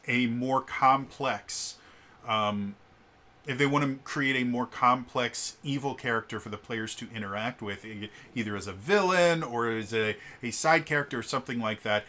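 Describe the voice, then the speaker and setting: Deep-voiced young man, bright edge, casual reviewer who stammers and fills with "um". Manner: stuttering restarts on words, many fillers. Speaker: tabletop RPG reviewer.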